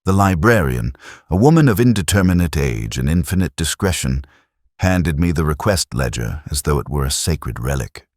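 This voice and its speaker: A man playing a weary, literate police inspector, narrating a detective drama in a measured, melancholy, literary way. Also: extremely low pitch, animated, dry recording, studio quality. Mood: brooding, reflective. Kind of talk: scripted first-person detective narration.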